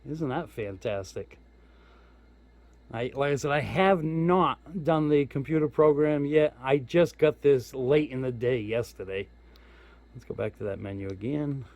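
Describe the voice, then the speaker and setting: A man's voice: mid-range voice, unhurried, a little mellow, dry recording. A man giving a casual hands-on product walkthrough as a hobbyist reviewer, informal and thinking aloud as he goes. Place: indoors at home.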